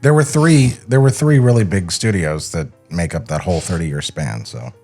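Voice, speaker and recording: A man's voice: deep voice, moderate pace, creaky, a little mellow, soft background music. A man co-hosting a podcast in a casual, bantering chat. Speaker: podcast co-host. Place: podcast studio.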